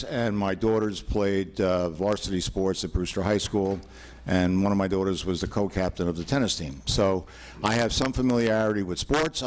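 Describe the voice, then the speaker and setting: An older man, an attorney, giving a formal presentation to a town board at a podium microphone, talking loudly and measuredly with frequent fillers. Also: fairly low pitch. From New York, USA. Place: town hall meeting room.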